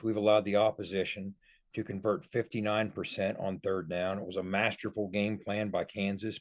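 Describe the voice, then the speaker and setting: A man giving sports analysis on a podcast in an emphatic, explanatory way. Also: fairly low pitch.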